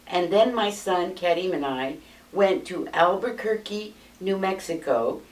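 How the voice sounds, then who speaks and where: An American woman in her eighties, with a fairly low voice, reads her life story from notes in a measured, unhurried manner. She sits in a small room, and the camera's mic is some distance away, so her voice sounds roomy and distant. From United States. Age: 80s. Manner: measured, steady reading from notes. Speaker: memoir narrator. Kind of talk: reading a prepared life story aloud. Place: small indoor room, seated.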